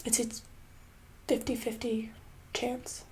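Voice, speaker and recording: A woman playing a smug, sinister boss in a soft-spoken ASMR roleplay, casual and condescending, with a breathy voice that often slips into a whisper. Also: fairly high pitch, level tone, slight background noise. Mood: mock-sympathetic, menacing. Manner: casual, condescending, falsely sweet, hesitant pauses. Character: smug, sinister female boss sweetly holding an employee hostage.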